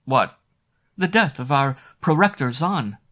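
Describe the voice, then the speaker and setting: Man doing a soft-voiced young inn maid. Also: a little high, animated, measured pace, voice pushed up. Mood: anxious. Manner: soft, urgent, breathless warnings. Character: young female inn maid, anxious and tender.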